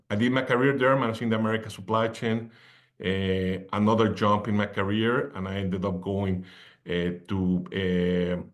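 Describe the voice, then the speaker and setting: This is a man in his fifties with a Mexican accent, Spanish being his first language. He speaks in a low register, in an unhurried conversational way. He circles back on his own phrases and leans on fillers. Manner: rambling, with many fillers and restarts. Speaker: podcast guest. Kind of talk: conversational interview.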